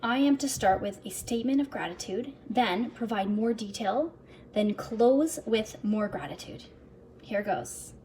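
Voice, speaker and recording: A woman's voice: fairly high pitch, unhurried, a little mellow, slight background noise, low-quality recording. A woman speaking as a self-help vlogger, chatty and earnest, addressing her viewers directly and sometimes reading from her own script. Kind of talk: upbeat personal vlog, partly reading from a script.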